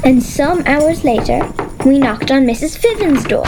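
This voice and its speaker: A woman's voice: sits high, lively intonation, measured pace, noisy background. An actress with an Irish accent playing an earnest, brave young girl who also narrates in a children's audio drama. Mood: storytelling.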